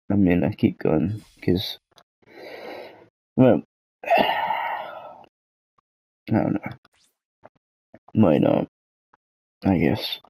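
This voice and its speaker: Low gravelly Scottish man with a cold. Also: a little mellow, no room sound, rough recording. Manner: rambling, sweary, self-deprecating. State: hoarse with a cold. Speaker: gaming channel host. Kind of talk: casual gaming vlog chat.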